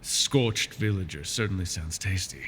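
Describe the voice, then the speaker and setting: A man's voice: low-pitched, a little slow, fairly bright tone, a little hiss or hum. A man voicing a proud, wounded male dragon in an ASMR fantasy roleplay, haughty and scornful while he is tired and in pain. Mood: boastful.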